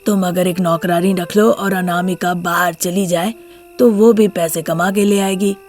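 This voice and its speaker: A gentle older father-in-law from a moral story, voiced by a woman, pitched low. He admonishes in a measured, protective way, with faint music behind him. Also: fairly even intonation, lightly acted. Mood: reproachful, calm. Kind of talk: Hindi moral story character voice.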